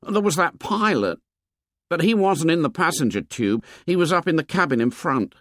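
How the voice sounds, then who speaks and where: Man as a storybook schoolboy, high-pitched, anxious, chatty, ungrammatical, dry close recording. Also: big pitch swings, moderate pace, fairly bright tone, no echo. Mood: anxious, insistent. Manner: ungrammatical, colloquial working-class schoolboy speech. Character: plump, anxious, talkative young schoolboy with asthma. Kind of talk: audiobook character dialogue.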